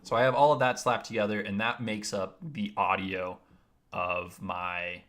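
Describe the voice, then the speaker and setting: A man with a naturally deep voice, explaining his video editing steps one by one in a casual, rambling livestream. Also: level tone, a little slow, fairly bright tone. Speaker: streamer.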